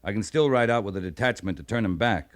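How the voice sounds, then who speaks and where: An American actor playing a level-headed, humane cavalry captain, firm but fair, in a western radio drama. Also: male voice, lowish voice, fast talker, no room sound, studio quality, close to an everyday voice. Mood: firm, pleading.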